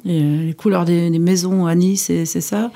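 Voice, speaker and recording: Low, mellow-voiced woman interviewer. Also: steady tone, moderate pace. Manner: gentle, prompting questions. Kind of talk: interview for a language course.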